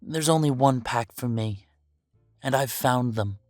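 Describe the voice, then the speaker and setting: A woman's voice: very deep voice, a little slow, a little mellow, dry recording, studio quality, faint music behind. A woman playing a bitter, defiant werewolf big brother estranged from the family, curt and confrontational, in an audio drama. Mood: firm. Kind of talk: scripted audio roleplay drama.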